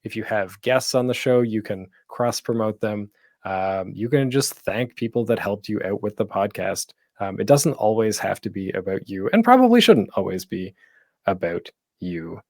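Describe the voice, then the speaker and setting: A man's voice: lowish voice, animated, moderate pace, darkish tone, dry recording, studio quality. A man giving an informal presentation as a host, conversational and thinking aloud as he goes. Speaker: panel host and podcaster giving tips. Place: at home, online panel.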